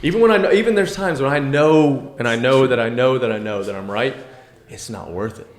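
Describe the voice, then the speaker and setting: Earnest male co-host with a big, loud voice in a roomy space. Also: medium pitch, brisk. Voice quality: loud, carrying voice. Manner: earnest, energetic, self-reflective. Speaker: podcast co-host. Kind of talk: casual devotional discussion. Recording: echoey room with faint noise.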